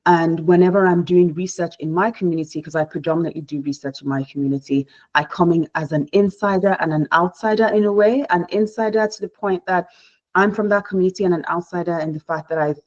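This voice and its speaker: Low-voiced Nigerian-born woman, muffled audio. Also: a little mellow. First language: Igbo. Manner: measured, reflective, with frequent restarts. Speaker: panel speaker, researcher. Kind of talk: online panel talk.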